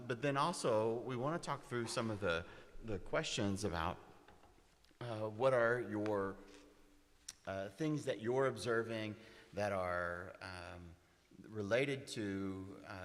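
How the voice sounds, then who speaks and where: Low-pitched man as host of a church class, easygoing and meandering, hall reverb on the recording. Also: expressive, slow pace. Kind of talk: prayer, then leading a group discussion. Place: church meeting room.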